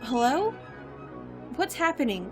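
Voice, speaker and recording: Woman as uneasy young-spirited heroine, audio drama, high voice, plucky, questioning, music behind. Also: big pitch swings, measured pace. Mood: bewildered. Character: anxious, plucky heroine, quick to quip.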